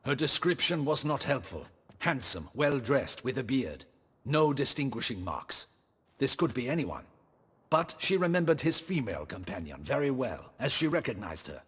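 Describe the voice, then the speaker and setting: An actor playing a dutiful, weary captain of the guard in a video game, giving formal, earnest military reports. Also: male voice, mid-range voice, sing-song, normal speed, fairly bright tone, slightly rough, in a large hall, full character voice. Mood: grave, reporting. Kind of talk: dramatic video game acting.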